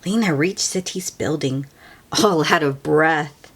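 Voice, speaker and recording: A woman reading a picture book aloud to children, expressive and sometimes hushed, with simple asides to her listeners. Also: sits low, a little hiss or hum. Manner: slow, expressive, hushed at times, explains words simply.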